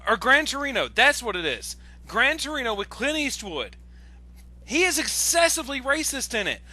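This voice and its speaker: An excitable man with a very bright, piercing voice talks casually on a voice chat. His pitch sits high and moves around a lot. He sounds exasperated, stammering and swearing as he gets worked up. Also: normal speed. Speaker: guest commentator on an online video. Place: online voice call.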